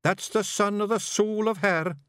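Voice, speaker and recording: Male reader doing a timid young Scottish pictsie, high and earnest, dry close sound. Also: steady tone, unhurried, fairly bright tone, clean tone, no room sound. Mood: anxious. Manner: earnest, anxious. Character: young nervous male pictsie apprentice bard.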